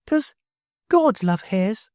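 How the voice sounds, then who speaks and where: A woman reading an audiobook, voicing a gentle, earnest little girl in a soft, pleading way. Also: on the high side, sing-song, measured pace, no echo, clean studio sound, pitched above the performer's own voice, full character voice. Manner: soft, pleading, wide-eyed. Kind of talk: audiobook character voice.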